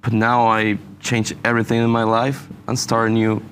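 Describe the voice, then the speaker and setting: A young man from Venezuela, a university student answering interview questions in halting English and saying "you know" often. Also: lowish voice, monotone, a bit bright, a little hiss or hum. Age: young adult. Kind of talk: casual interview.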